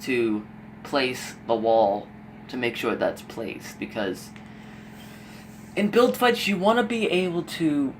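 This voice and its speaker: Rambling young man, voice a bit hoarse. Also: mid-range voice, takes it slowly, long pauses, slight background noise. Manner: rambling, with frequent fillers and swearing. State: losing his voice, a bit hoarse. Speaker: gaming YouTuber giving tips. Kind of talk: casual gameplay commentary voice-over.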